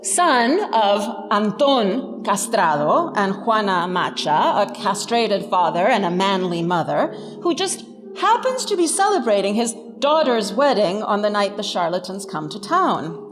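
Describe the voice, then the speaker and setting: Animated, wry woman lecturer. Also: mid-range voice, unhurried. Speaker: professor giving an illuminated lecture. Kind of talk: scripted lecture. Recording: reverberant hall, music underneath.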